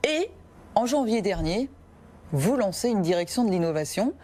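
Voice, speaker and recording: A woman hosting a televised business interview, asking brisk, lightly teasing questions. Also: mid-range voice, sing-song, warm tone. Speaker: TV business show host. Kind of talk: broadcast interview.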